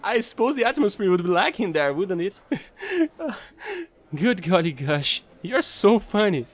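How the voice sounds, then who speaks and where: Guy doing a excitable chatty alien angel for audio roleplay, high voice, really animated, bubbly and rambly. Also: measured pace, full character voice. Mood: amused, chatty. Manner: bubbly, gushing, rambling, apologetic. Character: chatty, excitable, offbeat fallen alien angel space trader.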